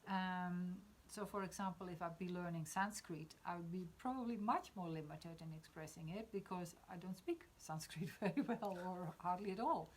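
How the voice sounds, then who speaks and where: Low-voiced Dutch woman in her fifties. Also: moderate pace, hardly any pauses, a little hiss or hum. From Netherlands. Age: 50s. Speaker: discussion participant. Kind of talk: reflective spiritual conversation.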